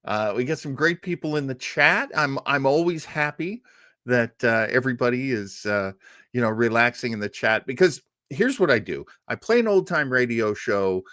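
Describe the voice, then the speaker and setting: A man hosting a podcast, talking informally and haltingly to his audience in a chatty, self-deprecating way. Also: medium pitch, animated, fairly bright tone, no echo, studio quality. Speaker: host of an old-time radio podcast. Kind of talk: informal hosted monologue to a live stream audience.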